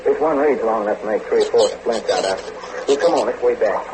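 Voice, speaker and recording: A man's voice: on the low side, a little fast, lightly acted. A man playing an easygoing, folksy detective adventurer with a drawling, colloquial way of talking in a radio serial. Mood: cheerful. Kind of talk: 1940s radio serial acting. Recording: noisy old radio recording.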